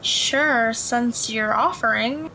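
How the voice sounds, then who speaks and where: Woman playing a high-voiced, reserved teenage girl. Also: slow pace, creaky, pushed and bright, a little hiss or hum, exaggerated voice, rough recording. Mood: reserved, polite. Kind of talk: audio drama dialogue.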